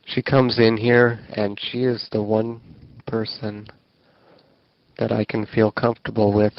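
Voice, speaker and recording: A man recording a personal audio diary, speaking haltingly with a whiny voice and long pauses between phrases. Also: lowish voice, little pitch movement, dry recording. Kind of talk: slow personal audio diary, talking to himself. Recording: dull lo-fi tape with music behind. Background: music.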